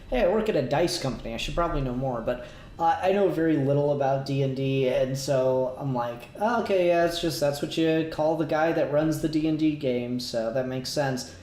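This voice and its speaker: A man's voice: on the high side, a little mellow. A young man hosting a review podcast in casual conversation, emphatic and acting out his own reactions as he goes. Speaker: podcast host leading a review. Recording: roomy home recording with faint noise.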